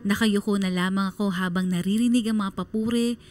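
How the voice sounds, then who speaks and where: An actress playing a sad young daughter narrating in a confiding way for a Filipino radio drama. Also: female voice, mid-range voice, fairly even intonation, a little fast, darkish tone, faint music behind, lightly acted. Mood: sad, reflective. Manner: wistful, confiding. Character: sad young daughter narrating her family's hidden troubles.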